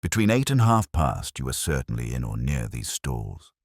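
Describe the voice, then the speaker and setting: Man as mild police inspector, bass voice, dry close sound, measured, shrewd, quietly probing. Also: very animated, no room sound, exaggerated voice. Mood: accusing.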